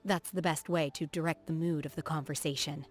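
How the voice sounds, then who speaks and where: A woman voicing a reserved, earnest, socially awkward young female martial disciple in a video game, speaking in a formal, measured way. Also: sits low, fairly even intonation. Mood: reflective. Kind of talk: video game character voice acting. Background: background music.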